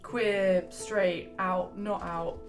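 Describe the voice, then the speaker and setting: A woman in her twenties from Surrey talks in an earnest, reflective way. Her pitch stays fairly level, and music plays clearly under her voice. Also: steady tone, extremely slow. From Surrey, England. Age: 20s. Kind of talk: documentary interview.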